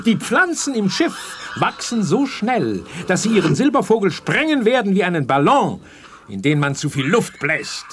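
An actor playing a pompous, gloating megalomaniac scientist villain in a theatrical science-fiction radio play. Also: male voice, high voice, big pitch swings, moderate pace, fairly bright tone, slight background noise. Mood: gleefully gloating. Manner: pompous, theatrical, speaks of himself in third person.

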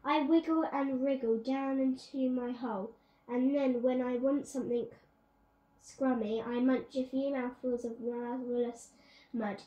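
A woman's voice: high voice, fairly even intonation, a little slow, warm tone, lo-fi sound. A young girl reading a children's picture book aloud on her bed, with chatty asides. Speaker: young reader. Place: bedroom, on a bed. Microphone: phone camera on a stand. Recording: echoey room.

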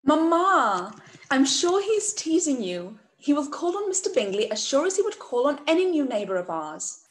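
Woman as a gentle, high-voiced young gentlewoman. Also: big pitch swings, normal speed. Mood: soothing. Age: young adult. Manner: gentle, soothing, earnest. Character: sweet, gentle, earnest young gentlewoman daughter. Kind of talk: play read-through, period drama. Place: indoor room, over video call.

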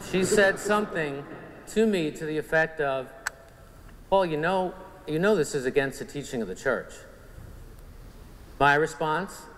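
A man's voice: fairly high pitch, fairly bright tone. A man in his forties with a Long Island New York accent, earnestly telling his personal faith story to a men's conference in a church. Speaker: conference speaker giving testimony. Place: large church sanctuary. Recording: church hall reverb, faint room noise.

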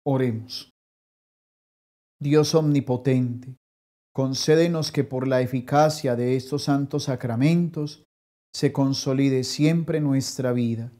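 A man in his thirties, a priest leading Mass and adoration prayers slowly and solemnly in a small chapel. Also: medium pitch, fairly even intonation, mellow. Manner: slow, solemn liturgical delivery.

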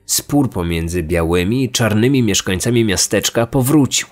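A man's voice: fairly low pitch, expressive, normal speed. A man hosting a podcast, telling true stories as scripted documentary narration. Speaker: true crime podcast host.

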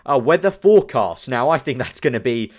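A man's voice: mid-range voice, lively intonation, fairly quick, a little mellow. A young man from the UK, chatting casually as a gaming channel host, rambling and thinking aloud with lots of fillers. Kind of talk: casual commentary over screen.

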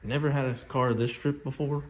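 A man's voice: mid-range voice, fairly even intonation, moderate pace. A young man in his twenties filming a casual vlog on his phone at arm's length, talking loosely and swearing when something shocks him. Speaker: car vlogger. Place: outdoors at a drag strip and car meets, indoors in a garage. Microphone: phone camera held at arm's length. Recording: noisy outdoor phone audio. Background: engines and crowd noise.

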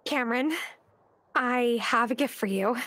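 An actress voicing a sincere, gentle young woman in love in a video game cutscene. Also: fairly high pitch, unhurried, no echo. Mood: affectionate.

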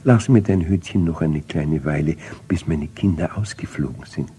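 Older man playing a husky, pleading mother bird. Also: very deep voice, normal speed, dark tone, heavily acted. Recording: reverberant old cassette transfer.

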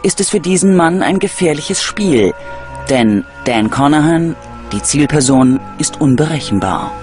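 Male true-crime narrator, lively pitch. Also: unhurried. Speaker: documentary narrator. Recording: music behind the voice. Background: background music.

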